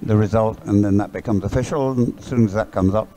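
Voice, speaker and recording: A man giving conversational live sports commentary in a hesitant, halting way, often repeating his words. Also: fairly low pitch, mellow. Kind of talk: live dragon boat race commentary, conversational. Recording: live sports coverage, crowd in background.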